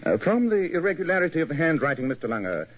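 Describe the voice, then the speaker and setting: An actor playing an urbane, self-assured English gentleman detective with an English accent, speaking precisely and formally in a 1940s radio drama. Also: a little high, big pitch swings, fast, breathy edge. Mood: analytical. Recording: muffled vintage radio recording.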